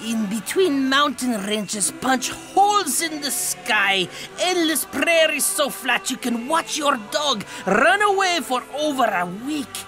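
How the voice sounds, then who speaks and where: Eccentric sci-fi audio comedy female: slow, exclamatory declaimer, very lively pitch, music underneath. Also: exaggerated voice. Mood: grandly declaiming. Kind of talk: comic sci-fi audio drama acting.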